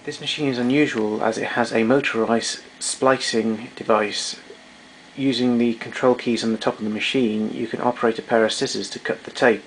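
A man's voice: medium pitch, fairly even intonation, moderate pace, slight background noise, rough recording. A man giving an unscripted technical demonstration in a matter-of-fact, somewhat hesitant way. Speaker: demonstrator of vintage tape recorder.

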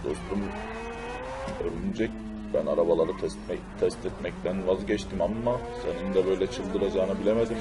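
Man playing a low-voiced buddy over loud music. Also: steady tone, normal speed, close to an everyday voice. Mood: calm, explaining. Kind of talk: amateur cartoon-style game video role-play.

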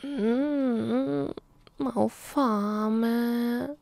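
A woman voicing a hungry, clingy vampire girlfriend in an intimate ASMR roleplay, murmuring softly and slipping into breathy whispers. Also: a little high, fairly even intonation, no room sound, full character voice. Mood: needy, hungry. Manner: coaxing, halting, close-up murmuring with small hums. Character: hungry immortal female vampire girlfriend, clingy, teasing, predatory.

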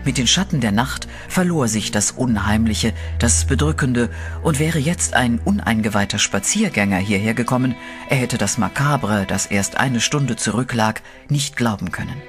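A man narrating a German radio horror play in a steady, dramatic storytelling voice. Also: mid-range voice, moderate pace, faint music behind.

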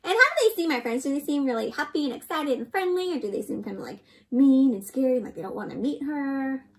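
A young woman reading a picture book aloud to children in an expressive, interactive way, often asking the listener questions. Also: high voice, quick pace. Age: young adult.

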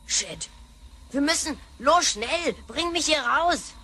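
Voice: high-pitched, lively intonation, takes it slowly, pushed and bright, slight background noise, exaggerated voice. A young performer playing a cheeky grandchild from the future in a science-fiction radio play, slangy and scornful. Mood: angry. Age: child or young teenager. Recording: music behind the voice.

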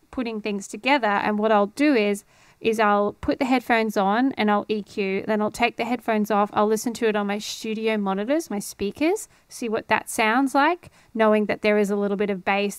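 A woman's voice: medium pitch, smooth, no room sound. A woman in her thirties explaining things in a casual, encouraging, conversational tutorial style, speaking close into a studio microphone. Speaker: host of an audio tutorial series. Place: indoor home studio. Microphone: close studio microphone on boom arm with headphones.

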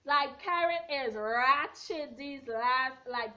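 Sassy, slightly hoarse young woman. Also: high voice, slow pace. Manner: sassy, blunt, animated hand gestures. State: a bit hoarse. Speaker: reality TV recap vlogger. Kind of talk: casual opinionated recap. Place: bedroom at home, indoors. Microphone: front camera at arm's length. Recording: dull lo-fi webcam audio.

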